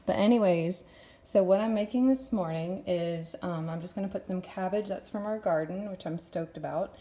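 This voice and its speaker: A woman's voice: fairly low pitch, normal speed, warm tone. A woman from Texas with a light Texan accent, chatting casually through a home cooking demo in her kitchen, rambling and laughing often.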